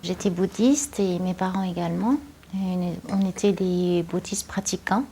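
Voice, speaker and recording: A woman's voice: on the low side, steady tone, a little slow, warm tone, slightly rough. A young woman from Vietnam giving a personal testimony, speaking hesitantly with many fillers and restarts. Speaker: interviewee.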